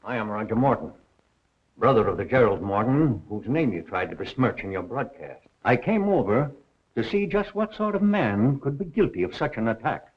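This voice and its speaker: A man's voice: mid-range voice, sing-song, moderate pace, breathy edge. An actor playing a haughty, indignant wealthy businessman in a 1930s film, stiff, pompous and threatening. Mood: indignant, cold. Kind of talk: 1930s Hollywood film acting. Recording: dull 1930s film soundtrack.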